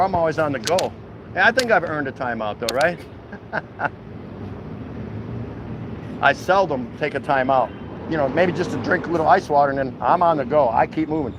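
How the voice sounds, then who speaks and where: A man with a somewhat high voice and an American accent talks in a loose, colloquial way, like he is livestreaming to regular viewers. He is folksy and jokey, and faint street noise sits behind him outdoors. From Milwaukee, United States. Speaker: walking vlog host. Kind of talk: casual livestream chat to viewers. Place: outdoors at a city food truck.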